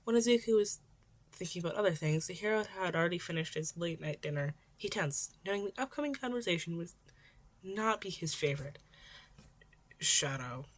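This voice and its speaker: Female podfic narrator, lower-than-average register, scripted story reading. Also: expressive, a little fast.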